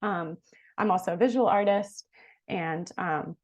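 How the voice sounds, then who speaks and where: Low-pitched woman from New York City. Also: unhurried. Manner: relaxed, frequent "um"s. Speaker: composer. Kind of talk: casual self-introduction.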